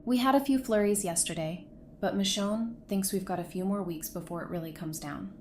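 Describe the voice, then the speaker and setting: A woman's voice: mid-range voice, a little fast, slight background noise, natural voice. A woman playing a practical, easygoing survivor in an audio drama, speaking in a matter-of-fact, conversational way. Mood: relaxed, probing.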